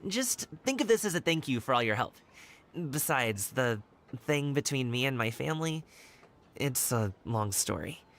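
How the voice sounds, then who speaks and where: A man's voice: mid-range voice, big pitch swings, moderate pace, fairly bright tone, slight background noise. A man playing an eager, friendly young delivery worker in a video game, breezy and generous. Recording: music behind the voice.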